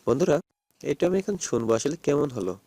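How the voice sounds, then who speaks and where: A man's voice: fairly high pitch, big pitch swings, fast, noisy background. A man giving a casual phone tutorial, repetitively addressing his viewers as friends. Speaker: host of phone app tutorial videos. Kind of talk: casual screen-recorded tutorial.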